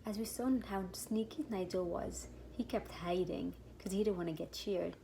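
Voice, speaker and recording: A woman's voice: lowish voice, steady tone, normal speed, gravelly, warm tone, poor audio. A woman teacher hosting a read-aloud, talking casually and simply to young children. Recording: faint background noise and ambience.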